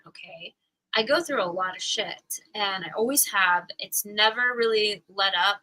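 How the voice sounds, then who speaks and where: A woman's voice: medium pitch, bright, forceful tone, rough recording. A woman teaching a live astrology class in a casual, chatty way, full of fillers and frequent laughs.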